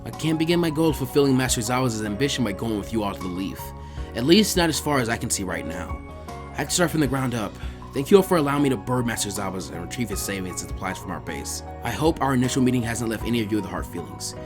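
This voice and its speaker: A man voicing a gentle, resolute young ninja woman who speaks softly and formally. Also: medium pitch, animated, quick pace, over music, pitched below the performer's own voice, lightly acted. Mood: calm, apologetic. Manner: soft, formal.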